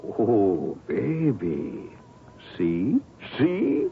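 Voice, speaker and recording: Husky, pushy, scheming trainer. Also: male voice, on the low side, huge pitch swings, drawn-out words, exaggerated voice. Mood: scheming excitement. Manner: slangy, emphatic, repetitive. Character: pushy, scheming male sports trainer, wheedling and excitable. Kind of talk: 1970s radio drama acting. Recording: tinny, dull vintage radio recording.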